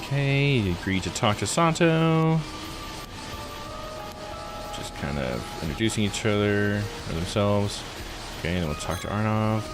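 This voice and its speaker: Deep voice guy streaming a game, chill and jokey, thinking out loud, game music pretty loud behind him. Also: expressive, a little slow, long pauses, low-quality recording. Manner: laid-back, thinking aloud, jokey paraphrasing. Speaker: streamer playing a visual novel. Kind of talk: casual game commentary with read-aloud text. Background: video game music.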